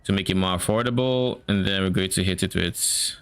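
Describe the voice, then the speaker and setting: Smooth-voiced Nigerian-Canadian man. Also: deep voice, a little fast, fairly bright tone, faint music behind. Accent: mix of Nigerian and Canadian. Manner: thinking aloud, musing and self-questioning. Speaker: game streamer. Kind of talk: live stream, casual chat while planning a game build.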